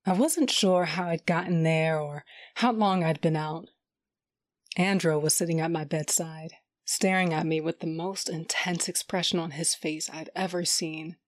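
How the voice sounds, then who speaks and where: A woman narrating an audio drama in first person as a tough, wry smuggler, soft when tender. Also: fairly low pitch, dry recording.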